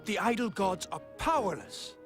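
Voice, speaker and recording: An earnest, defiant enslaved man from a cartoon, speaks with fervent conviction. The voice sits fairly high and moves with lively emphasis, faint music behind. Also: moderate pace. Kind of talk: animated film voice acting.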